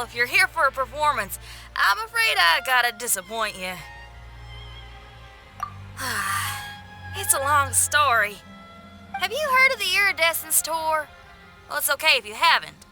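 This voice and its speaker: A woman playing a spirited, tenacious young female rock musician in a video game, with a folksy, expressive way of talking. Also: sits high, a little slow, long pauses, exaggerated voice. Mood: pleasantly surprised, then puzzled, chatty. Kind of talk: video game cutscene voice acting. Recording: faint music behind.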